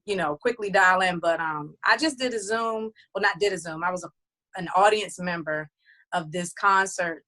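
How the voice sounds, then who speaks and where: A woman's voice: lowish voice, normal speed, bright, forceful tone. A woman recording artist who also runs a label, talking loosely and chattily in a casual interview, with many fillers and restarts.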